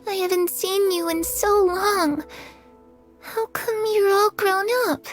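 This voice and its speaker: A woman voicing a gentle, trusting young girl in a video game, earnest and softly wistful. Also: extremely high pitch, steady tone, soft background music. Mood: puzzled, childlike. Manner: soft, unhurried, earnest. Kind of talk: dramatic video game acting.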